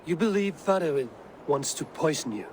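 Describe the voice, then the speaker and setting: A man's voice: fairly high pitch, lively intonation, normal speed, lightly acted. A man acting a stoic, firm Viking raider. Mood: measured. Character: stoic, steadfast male Viking raider hero. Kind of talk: dramatic video game cutscene acting. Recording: game audio with faint background noise.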